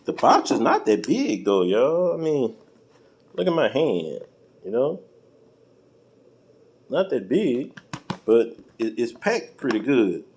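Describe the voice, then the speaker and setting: An American man with a mid-pitched voice talks through an unboxing at an unhurried pace. His delivery is informal and folksy, like casual chat with viewers. There is some noise in the homemade recording. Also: wildly swooping pitch, darkish tone. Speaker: vlogger unboxing and reviewing car audio gear. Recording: clearly noisy home-video recording.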